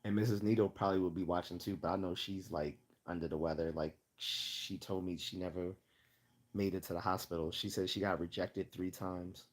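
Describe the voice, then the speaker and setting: A man hosting a casual livestream Q&A, chatting and rambling as he loses his voice and keeps clearing his throat. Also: low-pitched, steady tone, fairly quick. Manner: rambling, chatty, laughs often. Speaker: livestream host.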